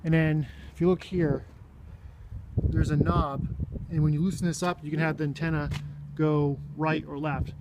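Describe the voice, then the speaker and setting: A man with a slightly high voice talks through a hands-on walk-around in a casual, unscripted way. He is plainspoken and halting, often pausing mid-phrase. The recording is handheld around a vehicle, with some background noise. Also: level tone, lo-fi sound. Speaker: vlogger showing his own vehicle radio install. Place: in and around his parked vehicle.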